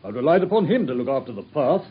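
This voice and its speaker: A man's voice: medium pitch, sing-song, fast talker, husky. An actor playing a harried, self-assured male police inspector in a radio drama, sounding bluff and a little defensive. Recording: dull old radio broadcast.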